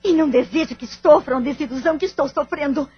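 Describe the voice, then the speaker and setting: A woman's voice: sits high, fast, lo-fi sound, close to an everyday voice. A woman acting in an audio drama with a Brazilian accent, playing a bitter, wounded wife and devoted mother in formal, melodramatic phrasing. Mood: reproachful, hurt.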